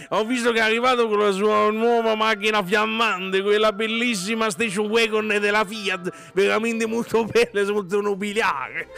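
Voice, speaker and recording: A man's voice: high-pitched, fairly even intonation, normal speed, vocal fry, bright, forceful tone, faint music behind, heavily acted. A man playing a pompous, stingy Duke-Count host in an improvised comic radio sketch, chuckling and mock-ceremonious as he addresses his noble guests. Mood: fawning. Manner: chuckling, mock-ceremonious, stumbling over words.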